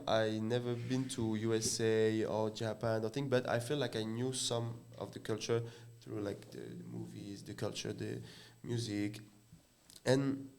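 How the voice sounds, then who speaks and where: A young man with a French accent, a podcast guest speaking English as a second language, halting and full of fillers as he searches for words. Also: fairly low pitch, darkish tone. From France. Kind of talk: casual podcast chat.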